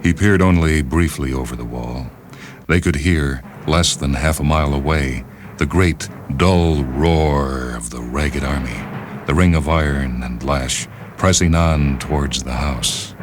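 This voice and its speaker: A man narrating a literary short story for radio in a measured, solemn manner. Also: very deep voice, noisy background. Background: orchestral music.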